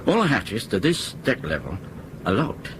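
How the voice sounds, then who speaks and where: A man's voice: lowish voice, huge pitch swings, moderate pace, noisy background. A man acting in a radio drama as an earnest airline crewman reporting in a hesitant, deferential manner. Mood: earnest, worried.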